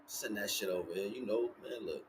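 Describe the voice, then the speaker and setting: A man's voice: lowish voice, fairly quick. An American man giving an informal spiritual monologue as a livestream host, speaking emphatically and repetitively in street slang. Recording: clearly noisy, ambient background noise.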